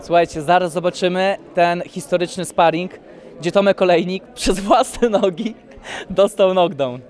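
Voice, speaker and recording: A young man with a fairly high voice interviews a fighter in a joking, teasing way. He holds a handheld mic at an event press wall. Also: unhurried, darkish tone.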